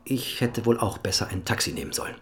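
An actor playing a courteous but guarded clinic doctor in a radio drama, measured and careful. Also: male voice, lowish voice, lively intonation, close to an everyday voice.

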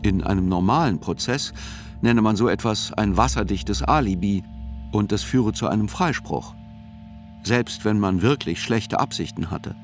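A man narrating a radio play as a court reporter, in measured, articulate literary reportage. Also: fairly low pitch, lightly acted. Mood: measured, reflective. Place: studio. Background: music.

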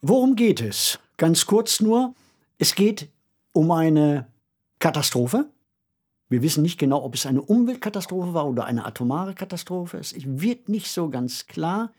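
A man who is an author, talking reflectively in a radio discussion and growing animated when he makes a point. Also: fairly high pitch, a little slow, husky.

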